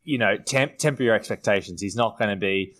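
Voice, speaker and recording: A man's voice: lowish voice, brisk, a bit bright. A man giving casual opinions as a fantasy sports podcast panellist.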